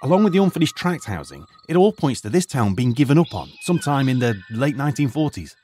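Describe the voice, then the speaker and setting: Older professor-teacher type, lively rise and fall, calm explaining tone, played by a man for an audio drama. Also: mid-range voice, fast talker, faint music behind, natural voice. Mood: measured. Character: retired archaeologist turned high school teacher, lecturing and cautious.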